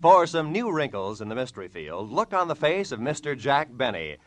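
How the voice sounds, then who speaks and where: A man's voice: mid-range voice, very animated, moderate pace. A man announcing in a scripted, old-time 1940s radio style.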